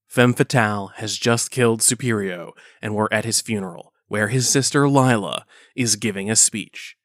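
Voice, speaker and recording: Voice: mid-range voice, a bit bright, no echo, clean studio sound, natural voice. An actor playing a frazzled, businesslike stage director in an audio drama, blunt and exasperated in delivery. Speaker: director of a musical in a story. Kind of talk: naturalistic audio drama acting.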